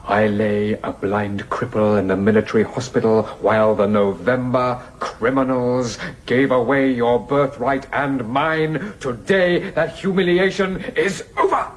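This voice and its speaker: An actor playing a fervent, volatile male nationalist leader in a radio drama, declaiming and swinging between hushed and shouted delivery. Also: medium pitch, fairly even intonation, unhurried, a little hiss or hum, natural voice. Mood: triumphant, rousing. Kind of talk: dramatic radio play acting.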